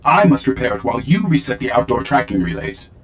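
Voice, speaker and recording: Male actor as video game droid, formal, literal-minded, scornful, very animated pitch, clear background noise. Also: quick pace, natural voice. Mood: clipped, scornful. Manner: stilted, formal robotic phrasing. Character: disdainful, literal-minded military droid, loyal to its maker.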